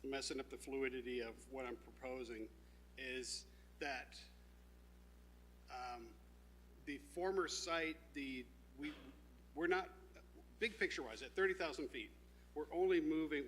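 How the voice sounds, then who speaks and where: A man presenting his company's plans at a town zoning meeting, speaking informally with many fillers and restarts, and a loud voice by his own account. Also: mid-range voice, fairly even intonation, measured pace, slight background noise, poor audio. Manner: says he has a loud voice.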